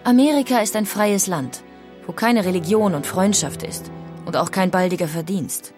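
A woman's voice: medium pitch, normal speed, over music, close to an everyday voice. An actress playing an outspoken, critical emigrant woman of the 1800s, reading her letters home in a formal way. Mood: bitter, warning. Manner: formal, opinionated. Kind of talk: dramatic reading of historical letters.

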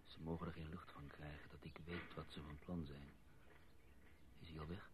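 An actor playing an urgent, impatient, hot-tempered journalist husband in a Dutch radio play. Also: male voice, extremely low pitch, little pitch movement, normal speed, noisy background. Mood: hushed urgency. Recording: dull, muffled old radio recording.

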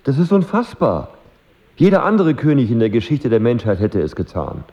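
A man's voice: medium pitch, wildly swooping pitch, moderate pace, warm tone, highs cut off. A man playing an ardent, loyal knight in a radio play, speaking in an emphatic, declamatory way. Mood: incredulous. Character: ardent, forceful knight, loyal but dismayed.

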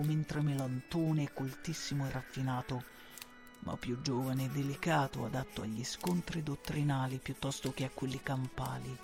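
Man acting servile informer, creaky, formal. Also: fairly high pitch, a little mellow. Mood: calculating, earnest. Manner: formal, deferential, measured. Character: devoted servile informer writing secret reports to his lord. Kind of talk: radio drama letter narration.

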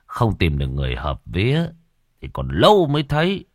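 A man's voice: low-pitched, huge pitch swings, fairly quick, dry recording, studio quality, full character voice. A man voicing a brooding, superstitious boatman, grave and resigned, in an audio story.

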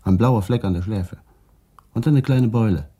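A middle-aged actor playing a calm, reflective traffic policeman under questioning in a German radio crime drama, speaking in a measured, guarded way. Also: fairly low pitch, breathy edge. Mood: calm, precise.

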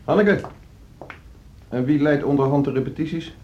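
A man's voice: fairly high pitch, normal speed, a little mellow, highs cut off, lightly acted. An actor playing a wounded, bitter male orchestra conductor in a radio drama, terse and reproachful. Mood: bitter, subdued. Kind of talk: naturalistic Dutch radio drama acting.

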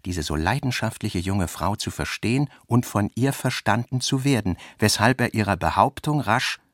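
A man narrating a literary radio play in a measured, expressive storytelling manner. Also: on the low side, dry recording, clean studio sound.

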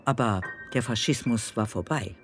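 A woman's voice: extremely low pitch, normal speed, faint music behind. A woman playing an earnest, philosophical chorus voice in a poetic radio play, insistent and aphoristic. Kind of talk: poetic radio play, clipped choral exchange.

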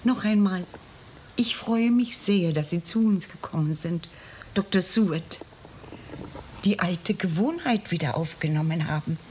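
A woman's voice: on the low side, expressive, a little slow, darkish tone, a little hiss or hum. An actress playing a gracious, weary mother in a radio drama, polite and formal. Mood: gracious, tired.

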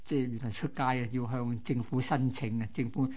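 A man's voice: medium pitch, steady tone, brisk, darkish tone. A man with a Hong Kong Cantonese accent co-hosting, telling local history in a colloquial, explanatory way. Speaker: radio co-host. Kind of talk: conversational history storytelling.

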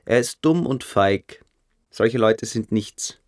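An actor playing a cynical adolescent military cadet in a radio drama, sneering, contemptuous and indifferent. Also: male voice, fairly low pitch, expressive, slow, darkish tone. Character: cynical, grinning adolescent cadet with a mystical bent. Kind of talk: German literary radio drama acting.

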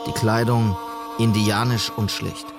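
A man's voice: lowish voice, level tone, unhurried, creaky, noisy background. An actor playing an earnest, dutiful policeman in a German radio drama, speaking in a sober, clipped, formal way. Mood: sober, determined. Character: earnest, dutiful policeman narrating his logbook.

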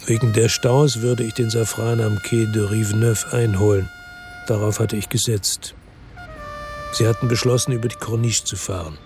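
Gravelly hard-boiled crime narrator, played by a man, music underneath. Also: lowish voice, steady tone, moderate pace, close to an everyday voice. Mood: brooding, resolute. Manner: terse, brooding. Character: hard-boiled man narrating his own revenge, fatalistic and resolute. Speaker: first-person narrator. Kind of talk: radio drama crime narration.

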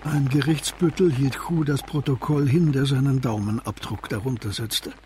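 A man narrating a radio drama as a scripted literary story, in a composed and deliberate manner. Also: mid-range voice, steady tone, mellow, a little hiss or hum, lightly acted.

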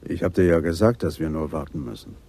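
An actor playing a calm senior police detective in a radio drama, measured and dryly wry. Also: male voice, extremely low pitch, creaky, slightly rough, exaggerated voice. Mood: wry, patient.

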